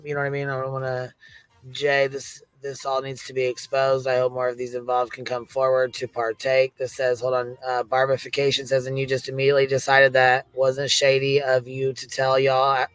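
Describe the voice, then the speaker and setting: A man's voice: mid-range voice, a bit bright, clean tone, dry recording, rough recording. A man hosting a casual livestream chat, speaking in a blunt, sweary way. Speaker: host of an open-panel livestream.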